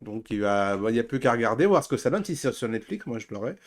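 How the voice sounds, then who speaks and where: A man's voice: medium pitch, big pitch swings, fast. Teasing man in his forties. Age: 40s. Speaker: talk show host. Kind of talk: casual panel chat.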